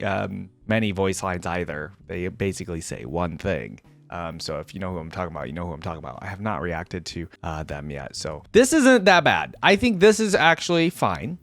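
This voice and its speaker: A man's voice: medium pitch, no room sound. A man hosting a reaction video, chatting casually to his viewers and rambling as he thinks aloud.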